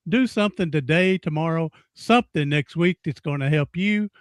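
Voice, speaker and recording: A man's voice: on the high side, normal speed, no room sound, clean studio sound. A man in his sixties hosting a podcast interview, folksy and rambling, often repeating himself. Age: 60s. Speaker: podcast host. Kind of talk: casual interview chat.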